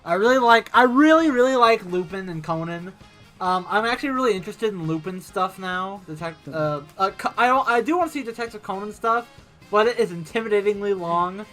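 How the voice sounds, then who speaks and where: Man, podcast co-host, bright sharp voice, lively, hesitant restarts. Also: high voice, fairly quick, faint music behind. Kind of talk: casual chat.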